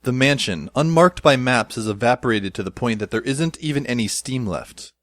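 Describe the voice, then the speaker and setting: A man's voice: mid-range voice, expressive, normal speed, a bit bright, dry recording, lightly acted. A man playing a bewildered, wry teenage schoolboy who narrates an audio drama in a wordy, dramatic way. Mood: dazed, wry. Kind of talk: scripted first-person audio drama narration.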